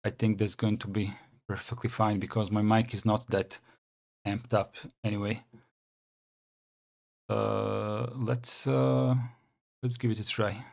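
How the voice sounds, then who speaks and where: A man's voice: lowish voice, little pitch movement, takes it slowly, creaky, long pauses, a little mellow. A man with a Croatian accent, hosting a casual reaction stream, talking hesitantly with many fillers and restarts. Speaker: channel host reacting to a video.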